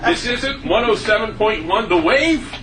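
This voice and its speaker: A man playing an exasperated, excitable middle-aged suburban father in a sitcom table read, with loud outbursts. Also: high voice, moderate pace. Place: live table read in a room. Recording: distant roomy recording with heavy noise.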